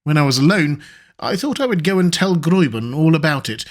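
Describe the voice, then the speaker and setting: A man reading a classic adventure novel aloud, his voice a touch higher than most men's. He keeps a measured, even pace through the narration. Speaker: audiobook narrator.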